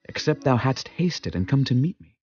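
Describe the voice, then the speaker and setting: Actor as devout warrior leader, swooping declamatory delivery. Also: male voice, medium pitch, big pitch swings, moderate pace, a little mellow, lo-fi sound, lightly acted. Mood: grateful, solemn. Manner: solemn, declamatory, emphatic. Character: David, devout, commanding young male warrior leader. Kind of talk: dramatized scripture acting.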